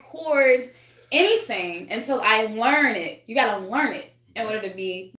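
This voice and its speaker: A woman's voice: fairly high pitch, animated, normal speed, pushed and bright. A woman singer talking casually as a podcast guest, rambling and swearing, with many restarts. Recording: echoey room.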